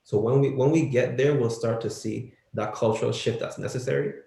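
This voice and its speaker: A man speaking earnestly as a panelist, haltingly, repeating words as he thinks. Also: medium pitch, steady tone, creaky, warm tone. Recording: roomy echo.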